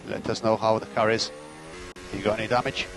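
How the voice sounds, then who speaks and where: A man's voice: fairly low pitch, little pitch movement, a little fast, gravelly, over loud music. A man working as a race engineer, giving terse, clipped instructions and data over motor racing team radio. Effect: team radio transmission.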